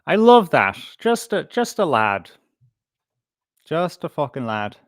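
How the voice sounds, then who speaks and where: A man's voice: a little high, sing-song, slow, dry recording. A man hosting a live stream, talking casually in a rambling, sweary, unscripted way. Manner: rambling, sweary, easily distracted. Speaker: host of a live stream show.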